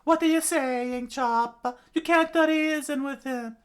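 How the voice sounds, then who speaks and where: A man voicing an alarmed, scolding woman in an adventure story, crying out shrilly and loudly. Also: unhurried. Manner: shrill, loud, exclamatory.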